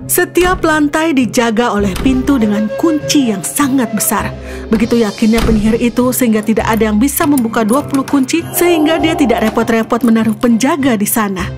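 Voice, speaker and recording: A woman storyteller with a fairly high voice reads a scripted children's fairy tale. Her narration runs over soft background music. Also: brisk. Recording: music behind the voice.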